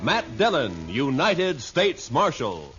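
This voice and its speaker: Swooping, dramatic radio western announcer. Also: male voice, mid-range voice, huge pitch swings, slow. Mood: bold, solemn. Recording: dull old radio with loud music.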